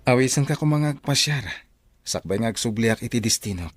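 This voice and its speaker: Man as young soldier, radio drama, easygoing suitor, husky, expressive pitch. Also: fairly quick, close to an everyday voice. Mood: casual, inviting. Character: young soldier boyfriend, casually inviting his girlfriend out.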